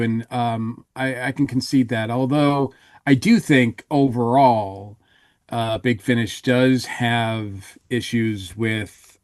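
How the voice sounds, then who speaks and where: A man's voice: medium pitch, a little mellow, no room sound, clean studio sound. A man talks through his opinions in a casual podcast discussion. His delivery halts and regroups as he thinks aloud, in a middle register with very little room sound. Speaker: podcast panelist.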